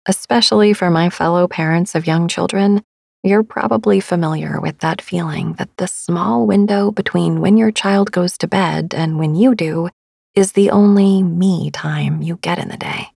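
A woman hosting a sleep meditation podcast in a soft, soothing, hushed voice that slips toward a whisper. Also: on the low side, normal speed, vocal fry, breathy edge, dry recording, studio quality. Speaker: podcast host.

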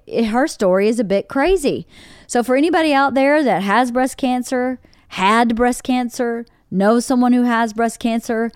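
A middle-aged woman hosting a podcast in casual chat, with a big loud voice and frequent laughs. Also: a little high, clear, resonant tone, dry recording, clean studio sound. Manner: loud, animated, laughs often. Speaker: podcast host. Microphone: studio podcast mic.

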